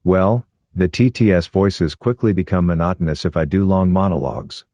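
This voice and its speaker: A synthetic text-to-speech voice of a social robot, using a male voice and speaking in flat, clipped, repetitive phrases. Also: low-pitched, moderate pace, dry recording, muffled recording.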